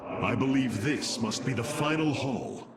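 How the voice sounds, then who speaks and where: Slow, solemn alien leader. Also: male voice, mid-range voice, expressive, background noise. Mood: resolute, urgent. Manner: formal, grave, measured, stately. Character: solemn, noble male alien Protoss leader, reverent and resolute. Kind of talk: dramatic game cutscene acting. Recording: voice over loud cinematic music.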